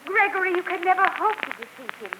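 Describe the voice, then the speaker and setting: A woman's voice: very high-pitched, animated, fast talker. A worried young noblewoman. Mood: pleading. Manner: pleading, earnest. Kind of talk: 1940s radio acting. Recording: noisy 1940s radio broadcast.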